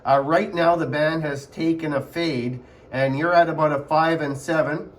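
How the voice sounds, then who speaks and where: A man with a voice a little higher than average, talking as a ham radio operator. He chats casually over a contact, circling back on his phrases and using phonetic call signs. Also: steady tone, moderate pace.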